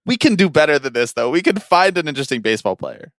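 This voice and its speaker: A man's voice: on the high side, very animated, fast, a bit bright, dry recording, clean studio sound. A man co-hosting a podcast in casual banter, with a teasing, opinionated way of talking. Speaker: podcast co-host.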